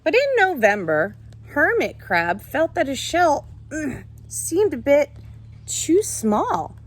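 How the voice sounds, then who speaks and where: Woman, teacher, expressive read-aloud, swooping intonation, animated manner, speaking to children. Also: high-pitched, takes it slowly. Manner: animated, addressing children with questions. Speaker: teacher reading to pupils.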